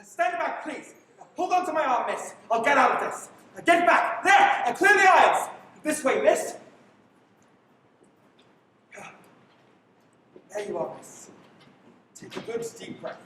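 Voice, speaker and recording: A plucky, wry gentleman hero played by a woman speaks in a very high, swooping voice. The radio play delivery is projected, picked up from far off in an echoing space. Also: moderate pace, slight background noise. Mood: urgent. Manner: projected, animated. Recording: echoey, distant live stage pickup.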